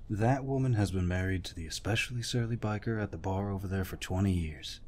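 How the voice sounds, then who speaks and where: An actor playing a gruff, protective older war veteran in an intimate audio roleplay, deep-voiced, tender and teasing. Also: male voice, fairly quick, a little mellow, slight background noise, close to an everyday voice. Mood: playful, affectionate.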